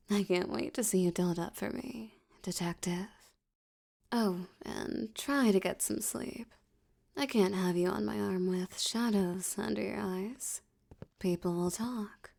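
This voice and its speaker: A woman playing a flirtatious, domineering mafia boss in an ASMR roleplay, teasing and condescending, with a breathy voice that slips toward whispers. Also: medium pitch, a little mellow. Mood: smug, playful. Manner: teasing, seductive, condescending, drawn-out delivery.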